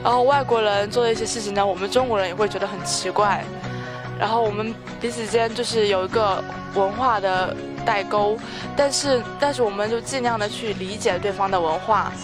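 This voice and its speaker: A young woman from China, a volunteer, answering interview questions in a measured way with occasional fillers. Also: medium pitch, music in the background.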